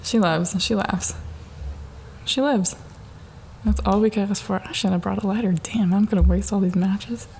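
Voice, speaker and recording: A young woman hosting a casual solo podcast, chatting and rambling with a self-mocking streak and plenty of "like" fillers, recorded at home in the dark. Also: medium pitch, normal speed, vocal fry, pauses often, a little hiss or hum, poor audio. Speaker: podcast host. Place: at home in the dark during a power outage.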